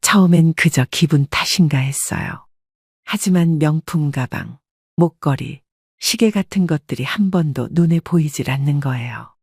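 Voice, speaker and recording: An older woman narrates a personal life story in a confessional, scripted style. Her voice is husky and sounds close, with no room around it. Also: low voice, moderate pace, a little mellow, no room sound.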